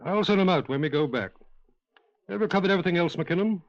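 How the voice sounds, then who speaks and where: High-voiced, brisk police superintendent. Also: male voice, no echo. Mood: businesslike. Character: businesslike local male police superintendent. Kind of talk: 1950s radio drama acting. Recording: dull 1950s radio recording.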